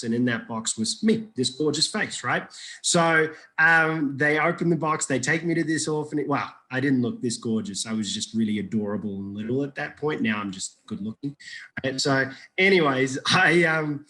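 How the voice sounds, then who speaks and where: A man's voice: medium pitch, a bit bright, low-quality recording. Joking, rambling man. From Iraq. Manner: rambling, repeats words and restarts, joking. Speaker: guest. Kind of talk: casual interview storytelling.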